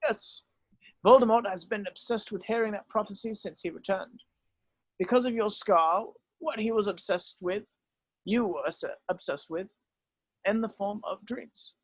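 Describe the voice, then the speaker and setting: Man playing a grave old wizard headmaster, high-pitched. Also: no room sound. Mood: solemn, explaining. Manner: measured, solemn, explanatory. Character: grave, weary old male wizard headmaster, gently remorseful. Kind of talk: dramatic script read-through.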